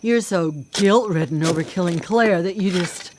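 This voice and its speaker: A woman's voice: on the low side, moderate pace, close to an everyday voice. An actress in a radio drama playing a tough, defiant female vigilante killer with a blunt, sardonic, probing manner. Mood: taunting, probing. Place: live radio drama broadcast. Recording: clear background noise.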